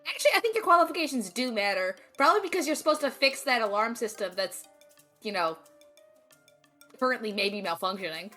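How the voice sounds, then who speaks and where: Roleplay crew member: scrappy, excitable, slangy, higher-pitched and bright, played by a woman. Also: fairly quick, soft background music. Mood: skeptical, casual. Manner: slangy, blurting. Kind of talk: casual improvised tabletop roleplay.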